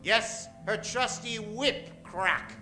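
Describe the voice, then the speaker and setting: A man's voice: high-pitched, drawn-out words. A man narrating theatrically as the announcer of an old-time radio western, dramatic and emphatic, drawing out names. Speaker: announcer introducing a radio play cast. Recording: music behind the voice. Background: music.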